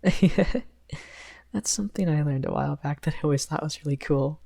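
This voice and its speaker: Woman voicing a shy, giggly, creaky best friend guy. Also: deep voice, moderate pace, mellow. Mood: shy excitement. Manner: hesitant, stammering, giggly, apologetic rambling. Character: shy, excitable young male best friend, rambling and giggly. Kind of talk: ASMR roleplay.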